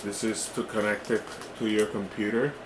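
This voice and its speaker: Male product reviewer, fairly low voice, informal and halting unboxing delivery, recorded with clear background noise. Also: flat delivery, echoey room. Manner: halting, often checking in with "right?". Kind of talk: casual hands-on unboxing demonstration.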